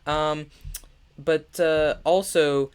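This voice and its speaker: A man's voice: a little high, fairly even intonation, extremely slow, clean tone. A young man with a stuffy, congested voice talks casually through a building tutorial, with lots of fillers and restarts. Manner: rambling, many fillers and restarts. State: congested, nasal. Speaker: Minecraft build tutorial creator. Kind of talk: casual gaming tutorial voice-over.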